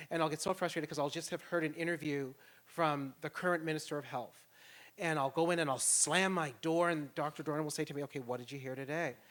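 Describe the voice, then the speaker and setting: A man speaking as a panelist at a public event, emphatic and impassioned. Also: on the high side. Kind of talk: panel discussion.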